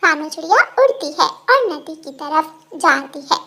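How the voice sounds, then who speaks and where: A woman's voice: sits high, expressive, measured pace, sharp tone. A woman narrating a scripted children's story.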